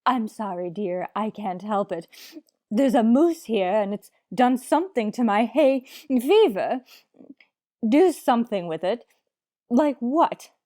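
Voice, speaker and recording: An actress playing a haughty, fussy society matron with affected, melodramatic upper-class diction in old-time radio comedy. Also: female voice, a little high, expressive, slow pace, mellow, dry recording, studio quality. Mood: fussy, chatty. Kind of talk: 1940s radio comedy acting.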